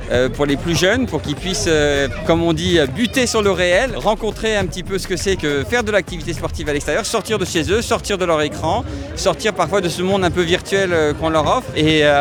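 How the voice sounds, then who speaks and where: A man giving reflective interview answers, repeating words for emphasis. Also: high-pitched. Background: clearly audible background music.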